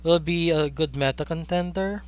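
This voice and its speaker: A young man with a Filipino accent calls a card game live, his voice pitched a little above the usual for a man. He gets excitable and keeps asking rhetorical questions, now and then greeting viewers in chat. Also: normal speed, clean tone. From Philippines. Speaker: caster for a card game stream. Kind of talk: live play-by-play commentary.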